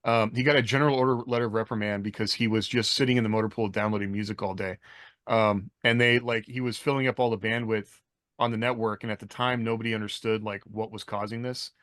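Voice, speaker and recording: A man's voice: fairly low pitch, steady tone, quick pace, a bit bright, dry recording. American man, casual, rambling, in a dry room. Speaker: podcast co-host. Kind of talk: casual chat, telling anecdotes.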